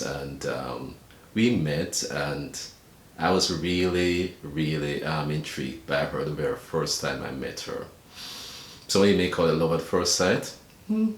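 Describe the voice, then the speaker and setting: Older Jamaican man, extremely low voice, earnest, unhurried pauses, echoey home room with a low rumble. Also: lively intonation. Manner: measured, earnest, pausing often. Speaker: video blogger and church deacon. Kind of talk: earnest talk to camera.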